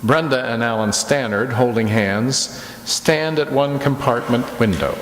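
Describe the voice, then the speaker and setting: A man playing an urbane, solemn storyteller who narrates a radio mystery drama in measured, formal, theatrical phrases. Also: medium pitch, lightly acted. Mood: scene-setting, ominous. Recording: echoey hall.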